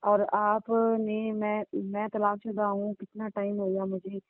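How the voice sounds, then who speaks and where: A woman's voice: mid-range voice, monotone, measured pace, dry recording, natural voice. Woman playing an aggrieved young woman, tinny phone line. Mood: hurt. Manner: complaining, insistent, repetitive. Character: aggrieved, insistent young divorced woman confronting older lover.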